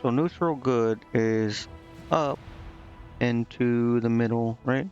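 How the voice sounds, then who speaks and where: A man's voice: mid-range voice, slow pace, darkish tone, highs cut off. A man doing casual live gameplay commentary, thinking aloud indecisively and reading game text out. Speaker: game streamer. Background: game music.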